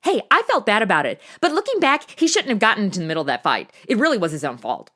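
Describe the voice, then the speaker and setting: A woman's voice: medium pitch, sing-song, fast talker, a bit bright. A woman playing a brash, impulsive modern American sidekick in a comedy audio drama, slangy, blunt and sarcastic. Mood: rambling, sheepish.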